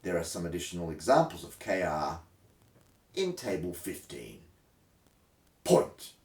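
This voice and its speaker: Guy doing an over the top dramatic textbook reading, big pitch swoops, echoey room a bit far from mic. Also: very animated, measured pace. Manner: theatrical, hammy emphasis with sudden swells and hushed drops. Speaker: host of a dramatic reading series. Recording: roomy, distant microphone.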